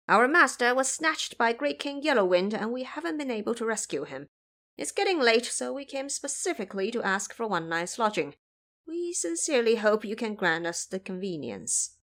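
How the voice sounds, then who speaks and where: A woman's voice: on the high side, a bit bright. A woman voicing a bold male monkey disciple with polite but boastful speech in an audio drama.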